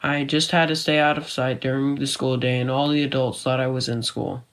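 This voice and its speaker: A man's voice: mid-range voice, little pitch movement, brisk, a bit bright, close to an everyday voice. A man playing an easygoing, adventurous teenage boy, narrating his own story in a matter-of-fact way for an audio drama. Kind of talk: scripted first-person story narration.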